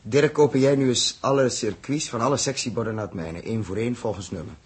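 An actor playing a calm, dryly humorous spaceship crewman with a very husky voice in 1960s radio drama style. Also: medium pitch, quick pace. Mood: brisk, wry.

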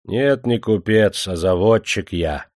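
A man voicing a proud, resentful self-made factory owner in a historical audiobook, stern and forceful. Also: fairly low pitch, takes it slowly, no echo, clean studio sound. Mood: restrained pride.

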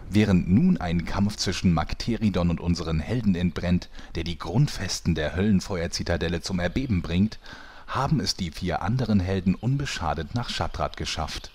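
A man's voice: sits low, moderate pace, darkish tone. A man playing a solemn epic storyteller, narrating a parody audio drama.

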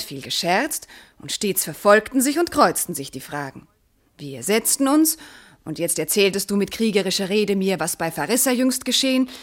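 Noble wife in a verse radio drama, loving but hurt and proud, elevated earnest delivery, mid voice. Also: female voice, medium pitch.

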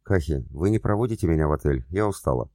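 A man's voice: extremely low pitch, lively intonation, fast talker, dark tone, no room sound, clean studio sound. A man voicing a coy young woman posing as heiress.

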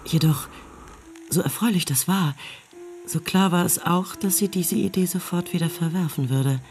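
A man reading scripted radio drama narration in a measured, matter-of-fact storytelling manner. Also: high voice.

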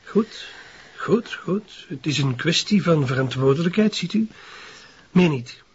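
An actor playing an evasive, formal Flemish police adjutant with a husky voice in a radio crime drama. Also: male voice, a little high, animated, unhurried, muffled recording, slight background noise. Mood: uneasy, evasive. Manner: hesitant, formal, defensive.

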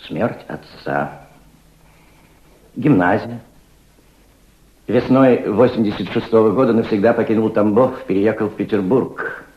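A man's voice: fairly low pitch, a little slow, long pauses, slight background noise, lightly acted. An actor playing a proud, cultured middle-aged aristocrat turned revolutionary diplomat, reflective, oratorical and fatherly, in a radio drama. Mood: nostalgic, stirred. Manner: reflective, oratorical, fatherly toward a young listener. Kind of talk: Soviet radio drama acting. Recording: dull, roomy old radio play recording.

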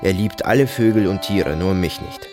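A man's voice: deep voice, expressive, normal speed, music in the background. An actor playing a brooding, detached man in an audio drama monologue, speaking in terse fragments with sudden emphatic bursts. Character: brooding, detached male narrator recounting violence and family.

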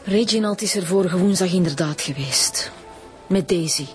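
An actress playing a proud, haughty singing teacher, speaking with theatrical dignity in a radio crime drama. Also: female voice, on the low side, moderate pace, faint music behind, natural voice. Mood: resigned.

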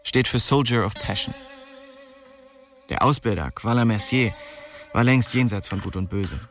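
A man's voice: fairly low pitch, moderate pace, lightly acted. An actor playing a cocky, restless young man who narrates his story in a slangy, streetwise radio drama style. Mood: cool, boastful. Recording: music behind the voice.

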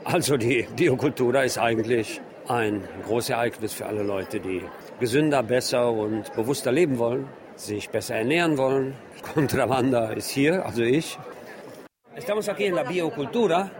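A man reporting for radio from a large, busy exhibition hall. His delivery is unscripted and descriptive, at a middle pitch, with plenty of crowd noise around him. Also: normal speed. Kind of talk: on-site radio reportage and interview. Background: crowd murmur.

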